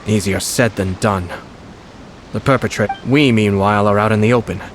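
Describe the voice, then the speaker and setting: An actor voicing a poised, shrewd young noble commissioner in an anime video game, speaking in a measured, formal, articulate way. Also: male voice, on the low side, fairly even intonation, slight background noise, close to an everyday voice. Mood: calm, calculating.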